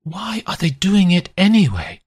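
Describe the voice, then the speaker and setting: A betrayed wife and mother confronts her husband, her voice lifted high and strained with fury, as a man reading the story puts her on. The tone is husky and swings widely. It sounds like a storybook scene told aloud. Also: huge pitch swings, unhurried, darkish tone, exaggerated voice. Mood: furious. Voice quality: voice raised and strained with anger.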